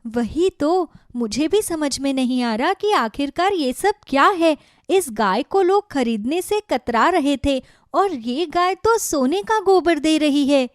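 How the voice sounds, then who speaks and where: Devoted cartoon village wife, played by a woman: high voice, earnest, caring, dry studio-like sound. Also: expressive, slow pace, no room sound. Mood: puzzled.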